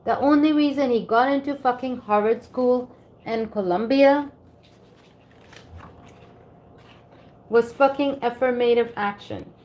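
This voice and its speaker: A woman's voice: a little high, moderate pace, darkish tone, lightly acted. Woman reading as a brash, cocksure tycoon, foreign accent. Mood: angry, scornful. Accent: non-native English. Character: brash, profane, boastful male business tycoon.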